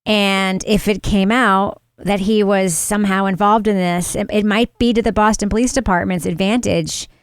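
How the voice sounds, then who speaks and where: A woman hosting a casual true-crime podcast chat, animated and quick to agree, laughing often. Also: mid-range voice, clear, resonant tone, dry recording, clean studio sound. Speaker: podcast host.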